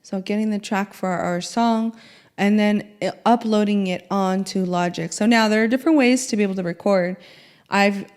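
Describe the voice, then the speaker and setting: A woman's voice: medium pitch, normal speed, a little mellow, clean tone. A woman music mentor explaining her recording process in a chatty, step-by-step way. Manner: chatty, laughs easily, step-by-step. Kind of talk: casual teaching.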